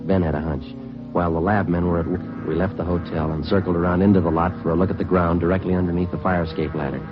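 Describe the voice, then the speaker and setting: An actor playing a terse police detective who narrates a 1950s radio drama in a flat, matter-of-fact way. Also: male voice, deep voice, fast, close to an everyday voice. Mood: narrating. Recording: muffled vintage radio broadcast with music.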